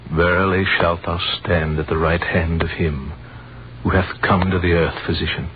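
Man acting a deep-voiced solemn old wise man. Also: fairly even intonation, normal speed, close to an everyday voice. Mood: reverent, solemn. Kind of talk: 1930s radio acting, archaic biblical speech. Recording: noisy muffled 1930s radio recording.

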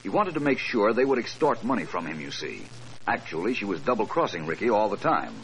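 An American man playing a suave, self-assured detective in a 1940s radio drama, speaking in measured, precise phrases. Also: fairly low pitch, big pitch swings, fairly bright tone. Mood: explanatory, assured. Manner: measured, precise, probing questioning and dictation. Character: suave, self-assured male detective solving a murder case. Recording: noisy old 1940s radio recording.

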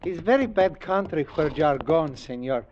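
Actor for ingratiating, scheming Mexican trader, very high male voice, Spanish accent, old radio drama, muffled sound. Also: expressive, normal speed, a little mellow, slight background noise, natural voice. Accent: Spanish-accented English, Mexican. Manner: oily, insinuating politeness. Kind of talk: 1940s radio drama acting. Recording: muffled vintage radio recording.

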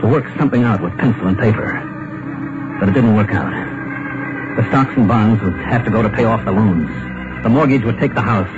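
A man's voice: fairly low pitch, brisk, lightly acted. A man playing a haunted, broke businessman. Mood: desperate. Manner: tense, haunted first-person narration. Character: anxious broke businessman, middle-aged husband. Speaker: narrator and lead actor. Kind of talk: 1950s radio drama acting. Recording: muffled old radio broadcast with music.

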